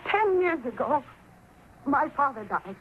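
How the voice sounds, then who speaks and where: An actress playing a bitter, desperate, haunted daughter in a 1940s radio drama, intense and dramatic. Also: female voice, sits high, big pitch swings, a little slow. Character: bitter, desperate adult daughter haunted by her father's death. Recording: muffled, noisy 1940s radio recording.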